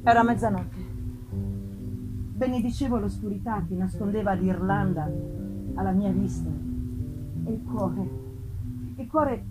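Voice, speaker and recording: A woman's voice: mid-range voice, poor audio. Dramatic woman reader over live music. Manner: theatrical, emphatic, pausing, repeating phrases. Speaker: actress reading a gothic novel. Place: live festival performance.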